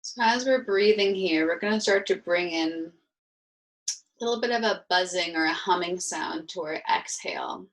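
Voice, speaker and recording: A woman leading a guided meditation as an instructor, speaking soothingly and informally in gentle, unhurried instructions. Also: on the low side, poor audio.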